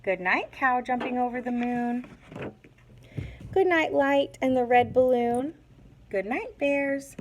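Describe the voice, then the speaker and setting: A woman's voice: sits high, takes it slowly, long pauses, slight background noise. A woman teacher doing a read-aloud lesson for young children, speaking in simple, instructive phrases. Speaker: teacher reading a picture book aloud to young pupils.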